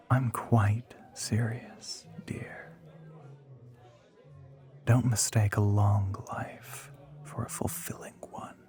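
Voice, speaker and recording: An ancient male vampire, acted out by a man, murmurs in a breathy whisper with a creaky edge. He is slow and deliberate, suave and possessive, teasing with formal condescension and quiet menace, as in an intimate romantic audio roleplay. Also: mid-range voice.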